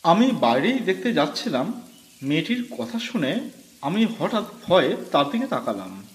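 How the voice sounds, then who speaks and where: A man narrating a first-person ghost story in an expressive, dramatic manner. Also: sits high, moderate pace. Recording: roomy with faint music.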